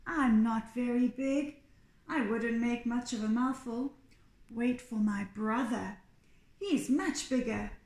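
A woman's voice: fairly high pitch, slow, darkish tone, echoey room. A woman voicing a modest, earnest middle-sized male billy goat in a storybook.

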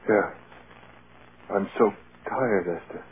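An actor playing a beaten, drunken former movie star, terse and subdued, in 1930s radio drama. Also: male voice, fairly low pitch, extremely slow, very noisy. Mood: defeated, weary. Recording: tinny 1930s radio broadcast, loud background crowd.

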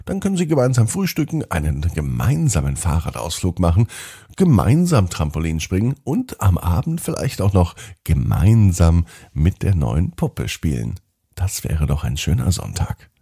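A man's voice: low voice, big pitch swings, moderate pace, gravelly, dark tone, breathy edge, dry recording, studio quality. A man hosting a children's podcast and narrating a scripted bedtime story, speaking expressively and directly to young children.

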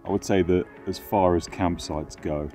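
A man's voice: deep voice, steady tone, normal speed, warm tone, soft background music. A man in his thirties vlogging from a bush campsite, talking with dry, sarcastic humor. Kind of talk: deadpan camping vlog narration.

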